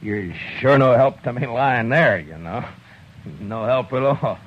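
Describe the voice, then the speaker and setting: An actor playing a gruff, steady frontier marshal in a 1950s radio drama, talking in a deep, measured way. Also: male voice, slight background noise, natural voice. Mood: kindly. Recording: dull vintage 1950s radio recording.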